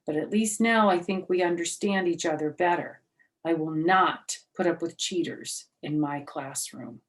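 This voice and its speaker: A firm but patient male teacher from a children's storybook, read by a woman. He explains rules deliberately and emphatically, admonishing a pupil without losing his patience. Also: lowish voice, lightly acted.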